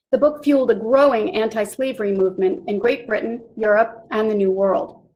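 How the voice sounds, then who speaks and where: A woman presents a literary history talk, reading from her script in a clear, even way. Her voice sits a little high. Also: poor audio.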